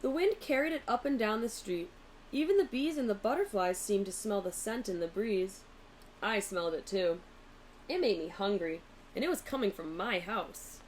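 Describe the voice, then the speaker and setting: A woman narrating a children's storybook aloud in an expressive, deliberate way. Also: mid-range voice, slight background noise, low-quality recording. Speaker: teacher reading a picture book aloud.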